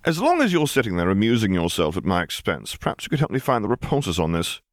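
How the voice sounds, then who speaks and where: A man's voice: on the low side, huge pitch swings, fast talker, no echo. A man voicing a dry, exasperated officer in a story, sarcastic and straight-faced. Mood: testy.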